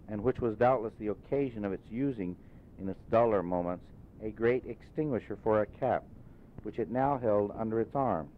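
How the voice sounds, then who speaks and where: A man narrating a literary story as an audiobook reader, in deliberate phrases. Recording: muffled phone-like audio, rumbling noise.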